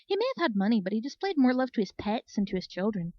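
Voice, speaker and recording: A kind young earth pony mare read by a woman in a storybook tale. She speaks gently and earnestly with a steady temper, in a dry, somewhat dull recording. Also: on the high side, fast, no echo. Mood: reassuring. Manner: soft, earnest.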